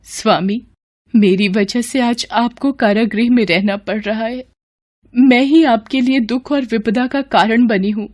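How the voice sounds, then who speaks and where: A woman's voice: on the high side, fairly even intonation, unhurried, a little mellow, close to an everyday voice. A woman playing a sorrowful captive wife and mother who pleads melodramatically in a mythological story. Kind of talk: Hindi mythological audio story acting.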